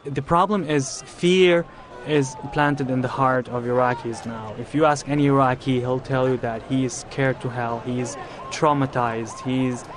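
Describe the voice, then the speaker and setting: A man's voice: mid-range voice, fairly even intonation, moderate pace, some chatter behind. Hesitant man in his twenties. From Iraq. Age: 20s. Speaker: graduate student.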